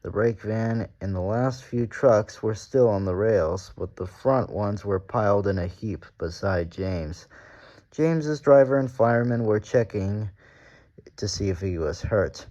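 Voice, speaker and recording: Low-voiced, unhurried man reading a storybook. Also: dark tone, highs cut off. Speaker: story reader. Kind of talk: children's storybook read-aloud narration.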